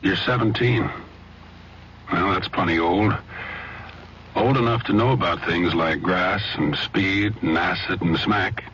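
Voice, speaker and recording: A man's voice: low voice, a little slow, pauses often, fairly bright tone. A male announcer delivering a public service announcement in an earnest, direct way. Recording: muffled old radio broadcast.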